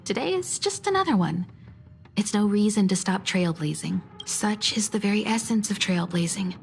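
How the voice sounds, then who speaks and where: A woman's voice: medium pitch, expressive, moderate pace, vocal fry, faint music behind. A woman reading video game dialogue aloud.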